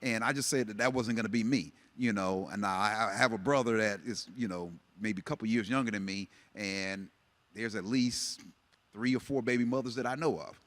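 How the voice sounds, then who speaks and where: A man's voice: on the low side, moderate pace, a bit bright. A man born in Jamaica and raised in the United States, giving earnest, reflective personal testimony on a panel. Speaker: relationship panel guest.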